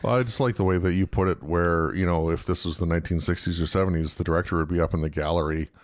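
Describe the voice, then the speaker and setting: A man co-hosting a podcast, chatting casually with halting, repeated starts. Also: low voice, steady tone, no room sound, studio quality. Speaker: podcast co-host. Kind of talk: casual panel chat.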